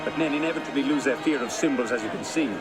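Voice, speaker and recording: An actor playing a cold, calculating middle-aged villain in a video game cutscene, speaking in a measured, grandiose way. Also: male voice, medium pitch, natural voice. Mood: grandiose, menacing. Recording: loud music and crowd noise behind.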